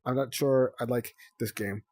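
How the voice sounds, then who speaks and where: A man's voice: mid-range voice, fairly even intonation, normal speed, darkish tone, lightly acted. A man voicing a reluctant, grumbling young male dragon.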